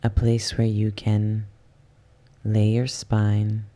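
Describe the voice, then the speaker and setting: A soft, hushed voice guiding a yoga nidra relaxation in ASMR style, soothing and unhurried, slipping at times into a whisper. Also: medium pitch, completely flat delivery. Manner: soothing, unhurried, with long pauses. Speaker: guided relaxation instructor.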